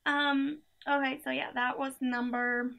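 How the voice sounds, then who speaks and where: Young woman with a high, gravelly voice. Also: level tone, a little slow, pushed and bright. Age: young adult. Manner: chatty, filler-heavy, giggly asides. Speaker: playlist reviewer. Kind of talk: casual chat. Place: small room indoors by a door.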